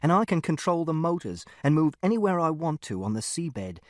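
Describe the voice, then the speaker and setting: Audiobook lead: man playing a literal, earnest teen boy, precise, lightly pitched, dry studio sound. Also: sing-song, brisk, dry recording. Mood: earnest, matter-of-fact. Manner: matter-of-fact, precise, earnest. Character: literal-minded, earnest teenage boy detective, narrating. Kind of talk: first-person audiobook narration.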